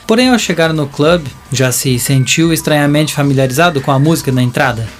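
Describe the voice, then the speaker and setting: Higher-pitched Brazilian male narrator over music. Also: brisk. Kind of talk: scripted audiobook drama narration.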